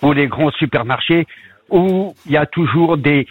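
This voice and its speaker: A man's voice: on the high side, slightly rough, no room sound. Middle-aged french guy with a bit of yorkshire in his accent, calling in, lots of euhs, sounds thin and phone-like, dry. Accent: French with a slight Yorkshire tinge. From northern France. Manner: halting, many fillers and restarts. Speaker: caller, baker abroad. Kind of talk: phone-in chat on radio. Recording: tinny phone line, dry.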